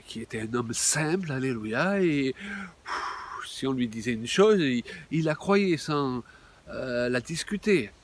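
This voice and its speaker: A man in his sixties, a pastor, giving an animated video sermon, exclaiming often and pausing with many fillers. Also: fairly high pitch. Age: 60s.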